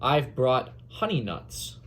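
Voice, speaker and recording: A man voicing friendly talking woodland animals in a children's storybook, with an expressive, drawn-out delivery. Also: mid-range voice. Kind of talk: storybook character dialogue read aloud.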